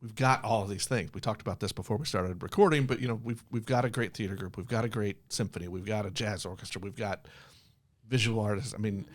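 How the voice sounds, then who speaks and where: A man's voice: lowish voice, quick pace. American man hosting a casual interview. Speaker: podcast host.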